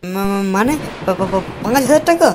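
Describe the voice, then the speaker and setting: A woman voicing an excitable, sheepish young cartoon man with a whiny, stammering manner. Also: medium pitch, fairly quick, natural voice. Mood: pleading panic. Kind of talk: comic cartoon dialogue. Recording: noisy with clicks.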